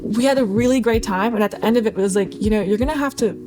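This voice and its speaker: A woman's voice: on the high side, racing, music in the background. A woman in her late twenties chatting casually and candidly as a guest, very informal and peppering her speech with "like". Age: late 20s. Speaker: guest having tarot cards read.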